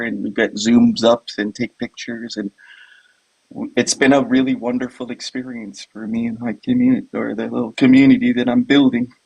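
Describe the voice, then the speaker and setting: Man, middle-aged, from Hawaii, monotone, rambling casual talk, video call. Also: medium pitch, poor audio. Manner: loose, rambling, filler-heavy speech. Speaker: guest, retired Army medic. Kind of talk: casual conversational interview.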